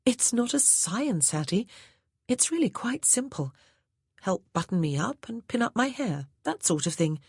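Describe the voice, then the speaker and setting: Brief: genteel young lady, refined and formal, voice raised a little above the narration, husky, read by a woman narrator. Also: medium pitch, big pitch swings, measured pace, darkish tone, no echo, clean studio sound, voice pushed up, heavily acted. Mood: composed. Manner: refined, formal period speech. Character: young genteel lady, newly married, poised.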